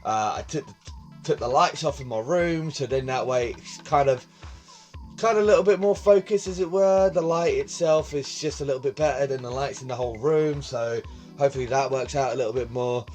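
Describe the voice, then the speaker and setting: A man's voice: medium pitch, brisk, darkish tone, soft background music. A man in his late twenties, hosting his own vlog in casual, rambling chat, recorded on a phone in his bedroom. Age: 20s. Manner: rambling, chatty, repeats himself. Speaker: vlog host. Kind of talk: casual talking-to-camera vlog. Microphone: phone camera on tripod.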